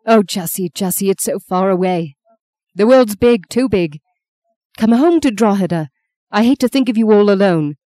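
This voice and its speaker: Woman acting a mellow, steadfast grieving mother. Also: medium pitch, lively intonation, moderate pace, no echo, natural voice. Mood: tender, aching. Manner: controlled, firm, gentle, unfaltering. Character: steadfast older mother, stern yet tender in grief. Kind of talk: dramatic audiobook character voice.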